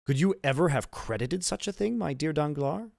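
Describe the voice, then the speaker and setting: A man's voice: fairly high pitch, lively intonation, moderate pace, creaky, a little mellow, dry recording, studio quality, pitched above the performer's own voice. A man voicing a hearty, earnest shipowner in an audiobook, courteous and formally worded. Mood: incredulous.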